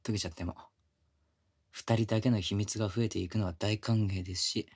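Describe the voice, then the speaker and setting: A man's voice: lowish voice, steady tone, a little fast, a little mellow, slightly rough. A man playing a pushy, flirtatious younger coworker with puppyish eagerness, speaking casually and intimately to the listener in a romantic audio drama. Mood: low, seductive. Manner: casual junior-to-senior speech, teasing and coaxing. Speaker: voice actor in a romantic situation voice drama. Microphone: close binaural studio microphone.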